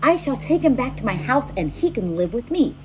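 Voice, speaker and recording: A woman's voice: fairly high pitch, big pitch swings, a little fast, background noise, pitched above the performer's own voice. A woman voicing a kind, earnest frog who worries over a friend in a children's picture book. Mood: affectionate. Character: kind, proud, anxious frog befriending a lost bear.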